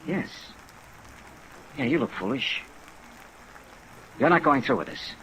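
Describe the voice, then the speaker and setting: A man's voice: medium pitch, takes it slowly, slight background noise, heavily acted, rough recording. An actor playing an earnest, persistent male investigator in a 1950s radio drama, pleading and persuasive, on a vintage recording. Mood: frank. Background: steady rain sounds.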